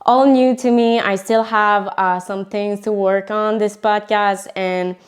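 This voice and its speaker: A woman's voice: medium pitch, steady tone, measured pace. A young woman with a French accent, native French speaker, hosting a casual motivational podcast in English, earnest and full of fillers and restarts. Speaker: podcast host.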